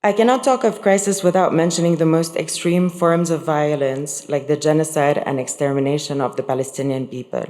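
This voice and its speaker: A woman's voice: low voice, steady tone, a little fast, few pauses, darkish tone. A woman from Lebanon giving a prepared conference speech, earnest with touches of dry humor. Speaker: conference speaker. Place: large conference hall. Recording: echoey conference hall.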